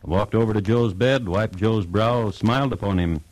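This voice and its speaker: An actor playing a wry, literary crime storyteller, narrating a vintage radio drama in deliberate, clipped phrases. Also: male voice, on the low side, darkish tone, close to an everyday voice, poor audio. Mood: wry, measured. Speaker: narrator and host. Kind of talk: 1950s radio scripted narration.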